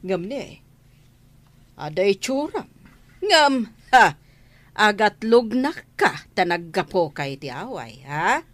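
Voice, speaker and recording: A woman's voice: mid-range voice, big pitch swings, slow, long pauses, no echo. A middle-aged woman playing a haughty, bossy rich mistress in an Ilocano-accented radio drama, with an imperious manner.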